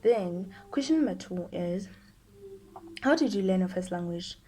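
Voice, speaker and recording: A woman's voice: medium pitch, unhurried, slight background noise. An eighteen-year-old woman, a Xhosa speaker with a Xhosa accent in English, working through prepared questions one at a time as a student teacher. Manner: steady, answers each question in turn. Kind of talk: reading prepared answers to questions.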